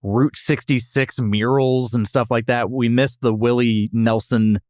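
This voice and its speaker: A man's voice: mid-range voice, fairly even intonation, unhurried, darkish tone, no echo, clean studio sound. A man hosting a casual comedic review podcast, talking in a wry, teasing way. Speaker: podcast host.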